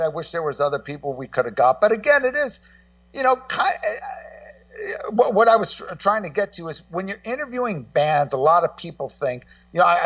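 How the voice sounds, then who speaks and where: A man in casual radio conversation, rambling and halting as he repeats words and acts out what other people say. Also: on the high side, fairly bright tone, no room sound. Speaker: guest co-host and music documentary maker. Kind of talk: casual radio talk, telling anecdotes. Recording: muffled, slightly distant voice feed.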